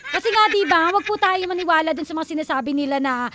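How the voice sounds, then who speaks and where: A Filipino woman with a high-pitched voice co-hosts a radio show. She banters playfully in slang, teases freely and drops in expressive exclamations as she reads out what listeners send. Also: fast talker.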